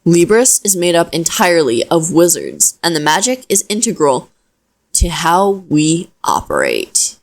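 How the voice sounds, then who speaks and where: A woman playing a chatty, flustered young wizard in an audio roleplay, speaking straight to the listener in a hesitant, expressive, conversational way. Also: lowish voice, unhurried, heavily acted. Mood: awkward explaining. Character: flustered young female wizard, chatty, earnest, self-deprecating.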